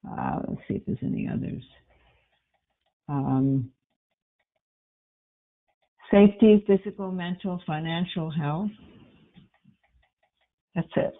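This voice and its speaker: A woman with a fairly low voice reads out audience answers in a webinar, pausing often on fillers. Her voice comes through a tinny, phone-like line. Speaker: webinar moderator.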